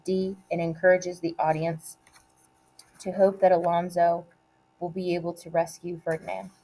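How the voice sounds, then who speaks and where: Woman, slightly low pitch, dark soft-edged tone, measured teacherly read-aloud, deliberate phrasing. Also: little pitch movement, slight background noise, rough recording. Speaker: teacher reading a test aloud. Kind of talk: read-aloud of multiple-choice questions and quoted play lines.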